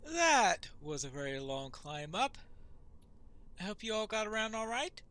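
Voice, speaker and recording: A man's voice: high-pitched, sing-song, a little slow, bright, forceful tone. A man narrating his playthrough of a video game, with game music behind him. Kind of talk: reading quest text aloud in character, playthrough narration.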